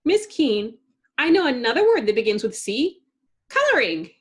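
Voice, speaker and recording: Woman putting on a sweet, eager little girl, high-pitched. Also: big pitch swings, moderate pace. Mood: eager, proud. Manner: bright-eyed, proud, eager. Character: sweet, eager little superhero girl who loves coloring.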